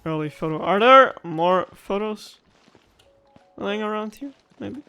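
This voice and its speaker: Casting: young woman gaming streamer, medium-pitched voice, chatty and reactive, casual commentary over faint game music. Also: animated, unhurried, bright, forceful tone, clear, resonant tone. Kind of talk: casual let's-play commentary. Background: video game music.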